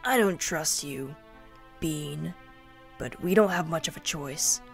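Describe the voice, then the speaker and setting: An actress playing a distrustful, self-serious elven rebel leader in a fantasy audio drama, stern and curt. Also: female voice, sits low, slow pace, creaky, faint music behind, heavily acted. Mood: suspicious, stern.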